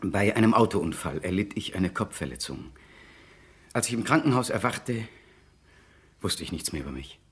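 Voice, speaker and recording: A man's voice: lowish voice, expressive, normal speed, pauses often, a bit bright, slightly rough, heavily acted. A man acting an anxious, tormented client in a 1960s German radio play, speaking hesitantly and broodingly as he confides. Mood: troubled, confessing. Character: anxious, tormented man seeking a private detective's help.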